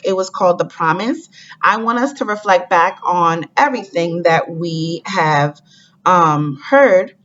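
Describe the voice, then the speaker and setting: A woman teacher giving a read-aloud lesson to young pupils, speaking in a deliberate way and addressing the children directly. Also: mid-range voice, fairly bright tone. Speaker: elementary school teacher. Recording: dull lo-fi recording.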